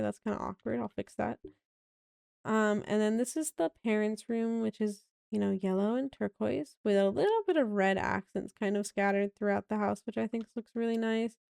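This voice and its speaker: A young woman with a raspy voice, casually narrating a tour of a house she built in a game, rambling with many fillers. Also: medium pitch, warm tone, dry recording, studio quality.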